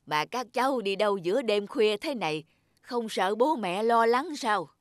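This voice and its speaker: A woman voicing a caring older cat in a children's cartoon, gently advising the young. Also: medium pitch, animated, moderate pace, no echo, clean studio sound, lightly acted. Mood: kindly, concerned. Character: kindly older cat, caring auntly or uncle-like helper. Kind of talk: dubbed children's cartoon dialogue.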